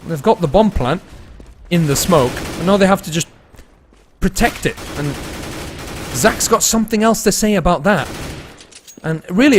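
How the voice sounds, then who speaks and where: A young man casting an esports match, laid-back and tired between plays, rising to fast, excited calls when the action heats up. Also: fairly high pitch, a little hiss or hum. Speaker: esports caster. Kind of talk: live esports commentary mixed with chatting to viewers.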